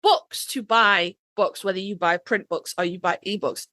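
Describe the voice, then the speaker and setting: Woman podcast host just chatting, bright voice, real dry sound, emphatic n sweary. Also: mid-range voice, moderate pace, no room sound. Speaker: author. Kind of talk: casual podcast chat.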